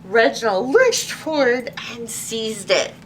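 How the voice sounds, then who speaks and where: Children's storyteller, female: high lively voice, expressive read-aloud with asides, slightly distant mic. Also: takes it slowly. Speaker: librarian hosting children's story time. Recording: distant mic with faint background noise.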